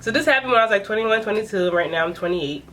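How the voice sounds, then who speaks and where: A woman in her late twenties recounting a personal story straight to the camera at home. She is chatty and animated and laughs often. Her voice sits at a middle pitch. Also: quick pace, slight background noise, rough recording. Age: 20s. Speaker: vlogger. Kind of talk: casual story time.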